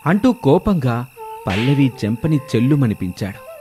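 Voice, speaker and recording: Male storyteller over soft music. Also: mid-range voice, sing-song, normal speed. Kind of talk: scripted story narration.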